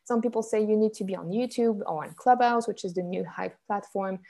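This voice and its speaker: A mellow-toned young woman from Switzerland hosts a live coaching stream and talks to her viewers in an upbeat, chatty way. She reads their comments aloud as she goes, at an even middle pitch. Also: fairly quick, vocal fry. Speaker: business coach hosting a live challenge.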